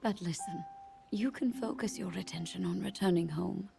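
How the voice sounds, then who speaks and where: An actress playing a gentle, perceptive queen in a game cutscene, speaking in a soft, breathy near-whisper. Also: mid-range voice, moderate pace. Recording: game cutscene over music.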